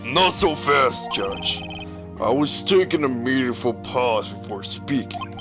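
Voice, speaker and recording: A man's voice: medium pitch, lively intonation, takes it slowly, music in the background. A man voicing an arrogant, domineering prosecutor in a video game, stern and impatient. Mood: imperious. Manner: stern, imperious.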